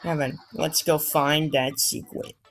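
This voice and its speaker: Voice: mid-range voice, fairly even intonation, measured pace, a bit bright. A gamer hosting a casual let's play, talking in a playful, rambling way that drifts into babble. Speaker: gaming video host.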